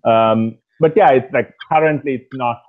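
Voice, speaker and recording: A man in his thirties from Sri Lanka, a singer talking casually in an interview over a video call, with a rough voice and a relaxed, rambling way of speaking full of fillers. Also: mid-range voice, moderate pace. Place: small indoor room at night. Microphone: earphones on a video call. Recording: tinny phone-like call audio.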